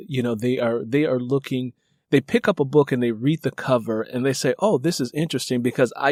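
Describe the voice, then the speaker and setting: A middle-aged man hosting an author interview, talking conversationally as a fellow novelist, rambling with frequent filler phrases and animated when storytelling. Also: mid-range voice, no room sound, clean studio sound. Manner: animated storyteller who acts out remembered exchanges; rambling, repeats "you know".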